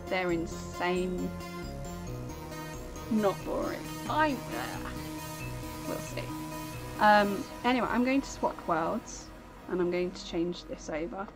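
A woman chatting casually on a live game stream, rambling as she plays and thanking viewers by name. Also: mid-range voice. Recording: game music playing underneath.

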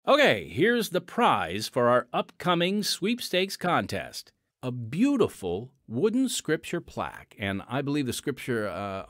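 A man's voice: medium pitch, no echo, clean studio sound. A man hosting a ministry podcast, reading announcements with some ad-libbed asides. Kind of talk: scripted radio ministry announcements, partly ad-libbed.

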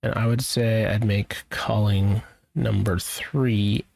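Guy doing a casual tech tutorial, kinda rambly, creaky voice, really dry close sound. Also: lowish voice, steady tone, slow, darkish tone, no room sound. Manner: informal, rambling, thinking aloud. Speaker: IT tutorial presenter. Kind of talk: casual screen-share software walkthrough.

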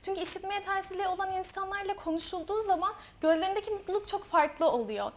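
A young woman, a student author, answering interview questions earnestly, pausing often to find her words. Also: very high voice. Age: young adult. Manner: earnest, quick, with frequent hesitations.

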